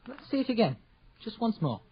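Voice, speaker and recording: A man's voice: very high-pitched, sing-song, brisk, husky, exaggerated voice. An actor playing an eager, curious, polite young man, the son of the household, in an old-fashioned radio drama style. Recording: dull, lo-fi radio drama recording.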